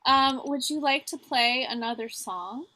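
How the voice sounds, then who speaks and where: A woman with a fairly high voice hosts a livestream from home. She is theatrical and tongue-in-cheek, moving between loose, chatty banter and mock-formal announcements to her viewers. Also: measured pace, pushed and bright, poor audio. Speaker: livestream co-host and musician.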